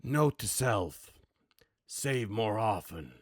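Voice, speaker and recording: A man voicing a hard-boiled, world-weary action-game hero in a brooding, measured noir monologue. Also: lowish voice, animated, slight background noise. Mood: dry.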